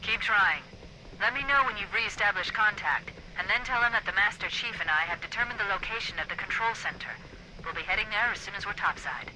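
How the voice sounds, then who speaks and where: An actress voicing a calm, brainy female military AI who gives crisp, confident briefings in a video game. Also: medium pitch, fairly quick, noisy background, natural voice. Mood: commanding. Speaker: ship's AI guiding a soldier.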